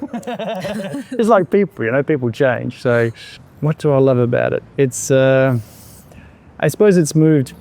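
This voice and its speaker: A man, answering interview questions in a reflective, unhurried way with pauses and fillers. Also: on the high side, expressive, darkish tone. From Australia. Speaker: entrepreneur guest.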